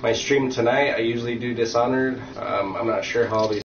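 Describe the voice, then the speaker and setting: A man's voice: medium pitch, fairly even intonation, brisk, slight background noise. A young man with a cold, chatting casually and rambling with lots of fillers while streaming a game from home. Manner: rambling, filler-heavy, easygoing. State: has a cold, sniffly. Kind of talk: casual live-stream chat while playing. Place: room at home. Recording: distant, roomy, dull lo-fi mic.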